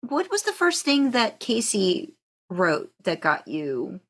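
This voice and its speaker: A woman's voice: mid-range voice, huge pitch swings, takes it slowly, fairly bright tone. A woman co-hosting a podcast in casual conversation, a supportive listener with a self-deprecating way of talking. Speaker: podcast co-host, interviewer.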